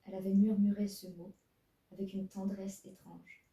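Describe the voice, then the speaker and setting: Brief: a woman reading her own story aloud, mellow and dark, in a roomy room. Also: medium pitch, level tone, moderate pace. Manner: self-mocking asides, stumbles over her text. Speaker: fanfiction reader. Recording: roomy, distant mic.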